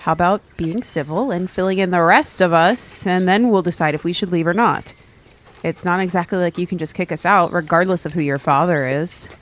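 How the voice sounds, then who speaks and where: A woman playing a cautious, diplomatic young friend in an audio drama, with a casual, measured way of reasoning. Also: on the low side, lightly acted. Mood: placating. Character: level-headed, cautious, diplomatic member of a young gang.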